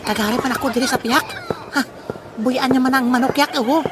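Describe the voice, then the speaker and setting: Woman playing high-voiced, excitable Visayan cockfight gambler. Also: fast, very noisy, lightly acted. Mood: boastful. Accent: Filipino, Western Visayan. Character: boastful, gleeful cockfight gambler with a prized rooster. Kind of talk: comedic audio drama acting.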